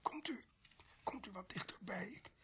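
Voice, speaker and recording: An actor in a radio play playing a gravely wounded, bitter criminal who gasps weakly in halting, broken phrases. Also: male voice, high voice, lively intonation, bright, forceful tone, heavily acted. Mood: weak, pleading. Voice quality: breathy, husky whisper. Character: dying, bitter male criminal protesting his innocence of murder.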